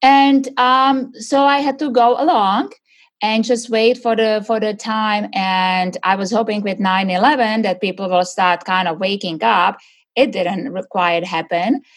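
A woman's voice: medium pitch, steady tone, measured pace. A middle-aged woman from Czechoslovakia, answering interview questions in an earnest, searching way with many fillers and restarts. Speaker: guest.

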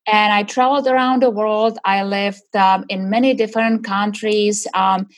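A woman's voice: medium pitch, fairly even intonation, moderate pace. A middle-aged woman from Czechoslovakia, answering interview questions in an earnest, searching way with many fillers and restarts. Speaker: guest.

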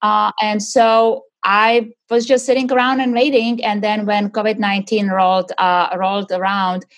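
A woman's voice: mid-range voice, level tone, moderate pace. A middle-aged woman from Czechoslovakia, answering interview questions in an earnest, searching way with many fillers and restarts. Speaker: guest.

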